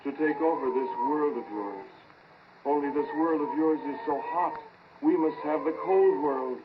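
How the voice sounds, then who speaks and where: A man's voice: fairly high pitch, normal speed, close to an everyday voice. An insistent alien hive mind voiced by a male actor speaks through a possessed man in short, chanted, repetitive phrases. It comes through a muffled, noisy 1940s radio horror recording. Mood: cold, commanding.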